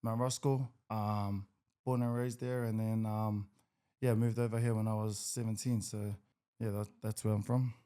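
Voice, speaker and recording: A man in his twenties with a New Zealand accent, a podcast guest who talks in an easygoing, hesitant way with many pauses. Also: lowish voice, level tone, warm tone, clear, resonant tone. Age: 20s. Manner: easygoing, hesitant with many ums, laughs a lot. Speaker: guest, rugby league player. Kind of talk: casual podcast interview.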